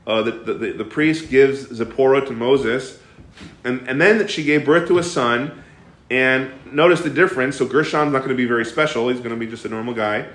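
Animated man teaching a Bible class. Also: medium pitch, moderate pace, reverberant. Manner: animated, asks the class questions, frequent fillers and restarts. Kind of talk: interactive bible study teaching.

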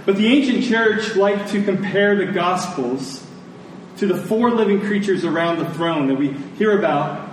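Echoey hall, distant mic, American man, middle-aged pastor, conversational sermon delivery, short deliberate phrases. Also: high voice, animated, slight background noise. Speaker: pastor preaching. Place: large church meeting room with congregation.

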